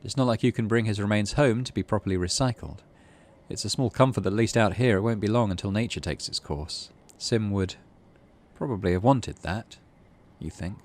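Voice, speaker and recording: A man's voice: on the low side, a little fast. A British man hosting a gaming playthrough, reading game text aloud and chatting casually about it. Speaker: gaming channel host.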